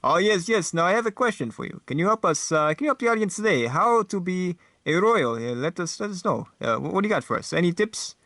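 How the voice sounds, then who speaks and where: A man voicing a fussy, bumbling, eager talk show host who speaks haltingly and repeats himself. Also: high voice, sing-song, bright, forceful tone, no echo, voice pushed up, exaggerated voice.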